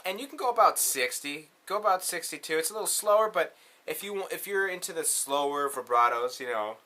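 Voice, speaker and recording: A man's voice: on the high side, expressive, a little fast, pushed and bright. A man hosting a casual hands-on tutorial, talking in an informal, slangy way. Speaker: tutorial host.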